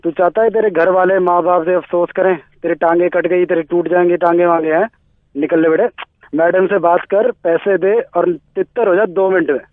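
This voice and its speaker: A man's voice: high voice, fairly even intonation, normal speed, dry recording, lightly acted. A man playing a swaggering, threatening Delhi tough guy on a comic phone call, blustering and slangy. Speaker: radio prank caller. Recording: tinny narrow phone line, dry.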